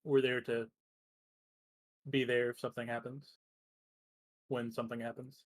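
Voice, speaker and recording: A man speaking haltingly and tentatively as he improvises in a tabletop roleplaying game. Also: medium pitch, little pitch movement, dry recording. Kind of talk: improvised in-character game talk.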